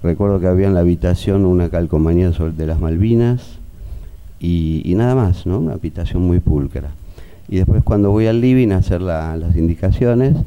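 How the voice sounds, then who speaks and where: A middle-aged or older man with an Argentine accent giving halting spoken testimony as a pediatrician witness, searching for details. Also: sits low, clear, resonant tone, dull audio.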